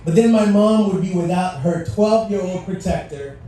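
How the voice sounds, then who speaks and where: High-voiced man speaking in an echoey venue. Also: normal speed, warm tone. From Haiti. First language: French. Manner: engages listeners with questions and playful asides. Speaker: guest speaker at a civic event. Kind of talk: motivational speech to a live audience. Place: large echoey venue with live audience. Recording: echoey venue, distant mic, faint noise.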